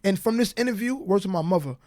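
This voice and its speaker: Stuttering Southern US man, high voice, dry studio podcast sound. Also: lively intonation, fast, a little mellow, dry recording. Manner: stutters, repeats phrases like "bro" and "real shit". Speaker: guest rapper. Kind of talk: candid interview talk.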